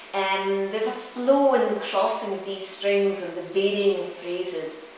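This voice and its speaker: A woman giving a reflective, explanatory talk on music, like a storytelling lecture from a presenter who also demonstrates. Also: medium pitch. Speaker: presenter, cellist and music teacher. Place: reverberant indoor room. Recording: very echoey room, distant mic, faint noise.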